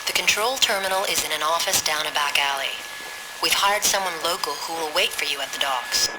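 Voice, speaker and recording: An actress playing a brisk, commanding female intelligence controller who gives clipped, businesslike instructions in a video game. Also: low-pitched, heavy background noise, close to an everyday voice. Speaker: voice actor. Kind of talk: video game mission briefing.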